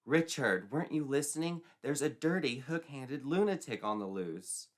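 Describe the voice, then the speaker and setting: A man's voice: mid-range voice, lively intonation, normal speed, pitched above the performer's own voice, natural voice. A man voicing an earnest, assertive teenage girl with emphatic, prim delivery in a comic storybook read-along. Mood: alarmed.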